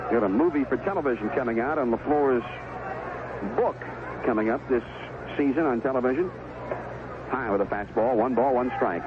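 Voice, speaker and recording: American baseball announcer on tinny vintage radio. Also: male voice, medium pitch. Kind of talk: live baseball radio commentary. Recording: tinny, noisy 1970s AM radio broadcast.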